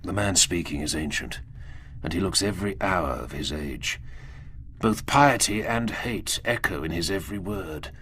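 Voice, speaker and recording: A man voicing a proud, grave space-marine warrior who narrates a war story in a measured, emphatic, dramatic way. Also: sits low, lively intonation, a bit bright, a little hiss or hum. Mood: grave, reverent. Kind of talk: scripted first-person story narration.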